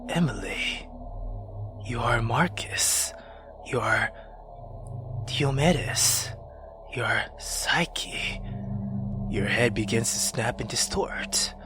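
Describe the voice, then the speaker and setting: A man voicing a haunted, sorrowful hero narrating a horror visual novel in a solemn, whispery hush. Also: lightly acted. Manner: low, hushed, whispery, solemn.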